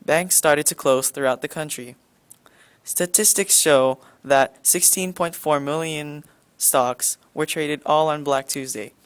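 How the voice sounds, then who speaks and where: A young man reading a school-project news report from a script in a steady way. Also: on the high side, smooth, dry recording. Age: teens.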